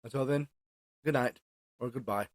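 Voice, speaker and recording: An American man hosting an informal solo podcast, speaking in a halting way with frequent hesitations and self-corrections. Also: medium pitch, dry recording, clean studio sound. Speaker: podcast host. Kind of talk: informal solo podcast monologue with news and sports recap.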